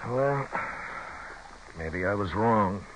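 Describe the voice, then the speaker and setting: A man's voice: fairly low pitch, expressive, drawn-out words, background noise. An actor playing a brooding, stubborn frontier lawman in a 1950s radio western, terse and understated. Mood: thoughtful, doubtful. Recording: old 1950s radio recording, crowd behind.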